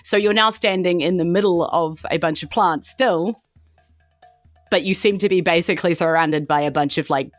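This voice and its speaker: Female game master, New Zealand accent, slightly low register, loose chatty improvised narration, very dry recording. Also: moderate pace, dry recording, soft background music. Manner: informal, conversational, vivid sound effects.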